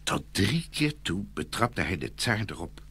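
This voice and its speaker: A male storyteller narrates a fairy tale. His voice is low and lively, with a bright, forceful edge and dramatic delivery. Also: unhurried, vocal fry, slightly rough.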